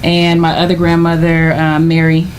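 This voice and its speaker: Low-voiced woman in her forties. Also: completely flat delivery, normal speed, slight background noise. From Chicago, USA. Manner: thoughtful, earnest, with frequent ums. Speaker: guest, physician. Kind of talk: conversational interview answers.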